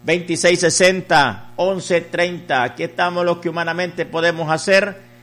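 Higher-voiced man making a repetitive radio appeal. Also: steady tone, normal speed, a bit bright. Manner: repetitive, chanting urging, reciting phone numbers. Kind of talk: live radio fundraising appeal.

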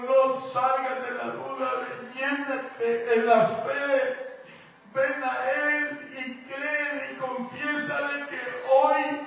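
High-voiced preacher, echoey hall. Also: male voice, vocal fry. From Guatemala. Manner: fervent, drawn-out preaching, sometimes half-sung. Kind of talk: sermon. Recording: very echoey, distant from the mic, soft music behind.

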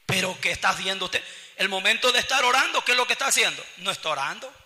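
A man's voice: sits high, lively intonation, fast talker, sharp tone. A man with a Salvadoran accent preaching an evangelical sermon in a colloquial, exhorting way, often putting questions to his listeners. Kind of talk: impassioned church sermon with scripture teaching. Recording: long hall reverb.

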